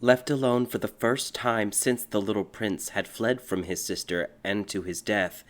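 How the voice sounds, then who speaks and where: A man narrating a dark fairy tale audiobook in a dramatic, scripted storytelling style. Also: fairly low pitch, steady tone, unhurried. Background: background music.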